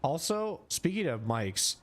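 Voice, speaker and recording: A man's voice: on the high side, big pitch swings, a little slow. A young man streaming a video game, chatting casually into a desk mic with a rambling, filler-heavy way of talking. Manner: rambling, lots of filler words. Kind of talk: casual gameplay commentary.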